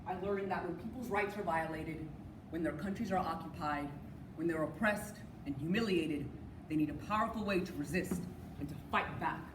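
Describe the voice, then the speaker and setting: A woman's voice: sits low, normal speed. A young woman performing reader's theater with an impassioned, declamatory reading. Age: young adult. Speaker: college forensics team performer. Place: stage performance space. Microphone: distant room mic. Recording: echoey, distant, noisy live room.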